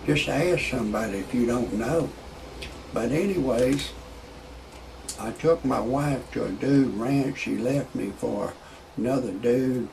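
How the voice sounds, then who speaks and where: A man's voice: medium pitch, gravelly, noisy background. A man in his sixties, talking in a rambling way, repeating words as he goes, first with opinions and then with stand-up jokes. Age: 60s. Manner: rambling, repeats words while searching for a point. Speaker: video blogger.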